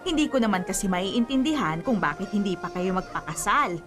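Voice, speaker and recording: A woman's voice: medium pitch, expressive, a little fast, natural voice. A woman playing a doting, pushy old grandmother who scolds and teases in a Filipino radio drama. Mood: playful nagging. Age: older. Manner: scolding, teasing. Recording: music bed behind voice.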